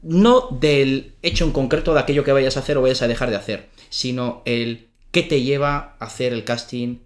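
A man's voice: medium pitch, normal speed. A man hosting a podcast, asking probing follow-up questions across a desk in a small office. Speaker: podcast host. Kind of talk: interviewing. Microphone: desk microphone.

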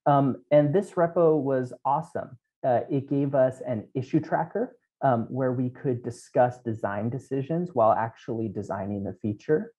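A man's voice: medium pitch, normal speed, few pauses, dark tone. A man in his thirties giving a technical keynote from a small room, explaining code step by step with frequent ums. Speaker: conference keynote speaker. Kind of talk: technical conference talk. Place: small room at home, indoors. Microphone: headset. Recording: dull lo-fi remote talk audio.

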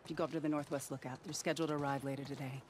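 A woman's voice: extremely low pitch, fairly even intonation, very fast, a little mellow, slight background noise. An actress playing a firm, caring middle-aged woman in charge, direct and natural, in a video game scene. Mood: firm, concerned.

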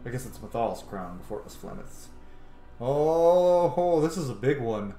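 A man in his thirties comments casually on a game he is streaming. His medium-pitched voice is enthusiastic and very animated. The room sounds echoey. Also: normal speed, faint music behind. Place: small room indoors.

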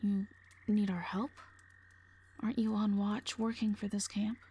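A woman playing a wary, commanding scout in an audio drama, speaking in a firm, hushed, terse way. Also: medium pitch, level tone, measured pace, husky. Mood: wary, shocked. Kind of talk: audio roleplay drama acting.

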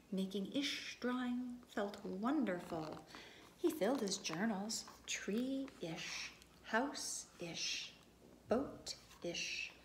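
Woman reading aloud in an echoey room. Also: mid-range voice. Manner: expressive, deliberate, addressing children directly. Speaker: preschool teacher. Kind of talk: read-aloud storytelling to young children. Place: empty classroom. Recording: echoey room with background noise.

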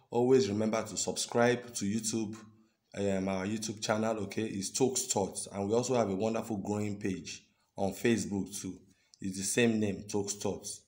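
A man's voice: on the low side, a little mellow. A man in his thirties from Nigeria with a Yoruba-tinged Nigerian accent, chatting earnestly to his phone camera in a small room and often checking in with "okay?". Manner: chatty, earnest, drawn-out words and frequent "okay?". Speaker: vlogger. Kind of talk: casual selfie vlog talk. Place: indoors, small room with curtains. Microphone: phone at arm's length.

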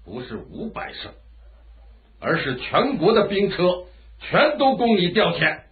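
An actor playing a vengeful, imperious feudal lord of ancient China in a commanding, theatrical radio drama style. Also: male voice, high voice, wildly swooping pitch, measured pace, full character voice. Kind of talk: Chinese historical radio drama acting. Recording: muffled, phone-like lo-fi radio drama audio.

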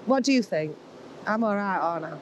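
A woman's voice: mid-range voice, lively intonation, brisk, slight background noise. A young woman bantering cheekily with her co-host in a car. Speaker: prank show co-host. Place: inside a car.

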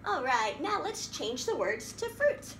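A middle-aged woman hosting a children's storytime, reading and explaining in a sing-song, animated manner with simple questions for small children. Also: sits high, measured pace. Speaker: library storytime host. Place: small indoor room at a wooden table. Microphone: camera a few feet away. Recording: roomy echo, faint background noise.

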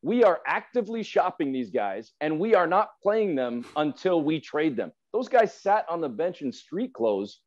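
A man's voice: fairly high pitch, sing-song, moderate pace, hardly any pauses, darkish tone. Man, forties, sports analysis, emphatic, explaining with asides, muffled video-call recording. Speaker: sports broadcaster, podcast guest. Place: indoor room at home, video call. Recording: dull lo-fi video call audio.

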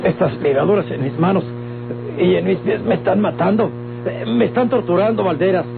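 A man's voice: high-pitched, brisk, gravelly, over loud music. An actor playing a crafty old captive man in a Mexican radio drama, with a theatrical, pleading and protesting delivery and a Mexican accent. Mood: anguished pleading. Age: older. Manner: theatrical, groaning, begging and protesting. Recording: muffled old radio recording with loud hum.

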